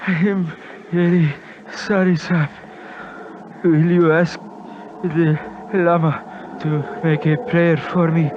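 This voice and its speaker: An actor in a 1950s radio drama playing a gravely injured, deferential Himalayan mountain guide, weak and in pain, speaking in halting, formal, simple English. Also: male voice, on the high side, flat delivery. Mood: fading, resigned. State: in pain, weakened, dying. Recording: noisy muffled 1950s radio broadcast recording.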